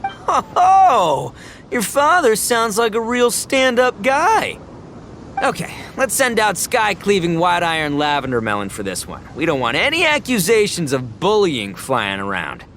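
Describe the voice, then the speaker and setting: An actor playing a boisterous, good-hearted young oni gang boss in a video game, slangy, goofy and exclamatory. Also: male voice, sits high, very animated, unhurried, fairly bright tone, a little hiss or hum, heavily acted. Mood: jovial. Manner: slangy, exclamatory, dim but enthusiastic.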